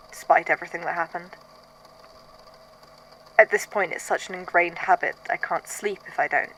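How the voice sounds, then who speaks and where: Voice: medium pitch, bright, forceful tone, a little hiss or hum, natural voice, low-quality recording. A performer playing a weary, haunted archivist, speaking in a hesitant, introspective, conversational way in an audio drama monologue. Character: weary, haunted archivist recording statements of uncanny events. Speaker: voice actor in a fan fiction audio drama.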